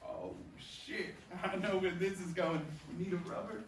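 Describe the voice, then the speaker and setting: An actor playing a brash, crude, paternal older baseball big shot in a staged play reading. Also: male voice, high voice, normal speed, lightly acted. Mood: crude, teasing. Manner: swaggering, crude. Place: performance room, recorded from a distance. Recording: roomy, distant mic, clear background noise.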